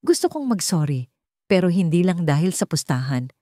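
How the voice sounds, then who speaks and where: A woman voicing a remorseful young man who pleads his love earnestly and haltingly in a romance story. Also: fairly low pitch, big pitch swings, mellow, dry recording, clean studio sound.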